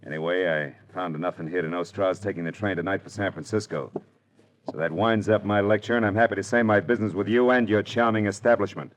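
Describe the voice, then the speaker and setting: An actor playing a tough, wry American private detective in a 1940s radio drama, with clipped, world-weary wisecracking delivery. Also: male voice, fairly low pitch, fairly even intonation, fast, natural voice, poor audio. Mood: tough, taunting. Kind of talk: 1940s radio drama acting and first-person narration. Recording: old radio recording with music.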